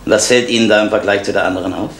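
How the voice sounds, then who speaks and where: An actor playing a calm police investigator who explains things patiently in an old East German radio crime drama. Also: male voice, on the low side, racing. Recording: echoey, noisy old radio play recording.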